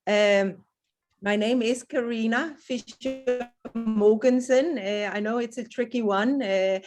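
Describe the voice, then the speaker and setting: A woman's voice: on the high side, steady tone, slow, over music. A woman presenting at an online webinar, speaking hesitantly with many restarts and fillers. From not South African. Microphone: video call.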